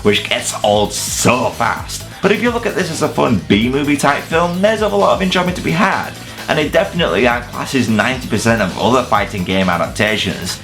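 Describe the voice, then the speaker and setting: A man's voice: medium pitch, lively intonation, brisk, a bit bright, faint music behind. A man hosting a scripted countdown review, with casual swearing and mock-incredulous asides. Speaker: video reviewer and host.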